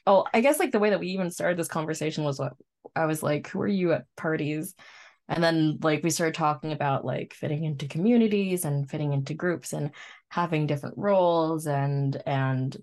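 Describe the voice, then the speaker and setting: Female, twenties, distinctly low pitch, reflective and informal podcast co-host delivery. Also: brisk, darkish tone. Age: 20s. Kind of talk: casual chat.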